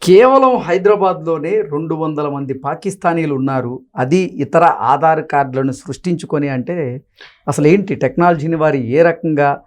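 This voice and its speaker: A woman's voice: sits low, moderate pace, a little mellow. A woman hosting a news discussion and commenting on reports. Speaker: news talk show host.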